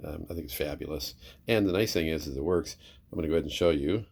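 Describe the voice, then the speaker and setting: A man's voice: very deep voice, fast, creaky, darkish tone. A man giving a casual, unscripted demonstration as a presenter, in an easygoing, somewhat hesitant way. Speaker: presenter demonstrating a vintage radio receiver.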